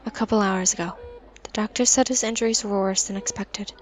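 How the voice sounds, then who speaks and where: A woman's voice: mid-range voice, fairly even intonation, a little fast, a little hiss or hum, close to an everyday voice. A woman playing a polite, sympathetic messenger in a comic dub, speaking in a subdued manner. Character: polite sympathetic female messenger breaking bad news. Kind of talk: dramatic comic dub acting.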